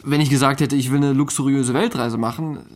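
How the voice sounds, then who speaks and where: Actor as a casual, bickering husband. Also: male voice, medium pitch, steady tone, a little fast, lightly acted. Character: easygoing foreign husband bickering with Chinese wife. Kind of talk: documentary radio drama dialogue, casual.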